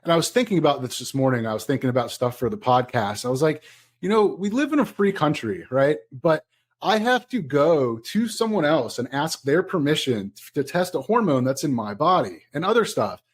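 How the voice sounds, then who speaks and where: A man's voice: fairly high pitch, very animated, brisk, breathy edge. A man hosting a podcast, casual and upbeat, asking his guest questions. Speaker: podcast host.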